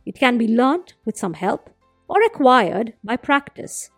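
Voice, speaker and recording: A woman storyteller hosting a folktale show, narrating and commenting expressively with dramatic, deliberate phrasing. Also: fairly high pitch, very animated, no echo, clean studio sound, faint music behind. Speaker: storyteller and podcast host.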